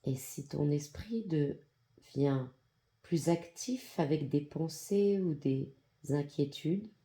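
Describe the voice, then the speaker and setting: A yoga nidra guide with an androgynous voice leads a guided meditation in a calm, soothing, measured manner with long pauses. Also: mid-range voice. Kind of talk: guided meditation, soft instructional narration.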